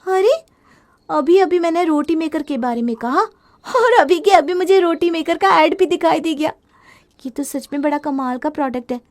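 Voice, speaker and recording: Woman as young daughter-in-law, high-pitched, impatient, chatty, opinionated, complaining, family moral tale. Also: expressive, moderate pace. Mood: wishful. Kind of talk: acted Hindi family moral story.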